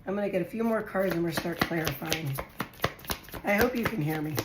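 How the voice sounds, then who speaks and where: A woman with a hoarse, raspy voice, lower than most, chatting as she lays out tarot cards for her viewers. She thinks aloud as she draws each card. The room sounds echoey, with a faint hiss behind her. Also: brisk. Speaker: tarot reader. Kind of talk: casual tarot reading to viewers.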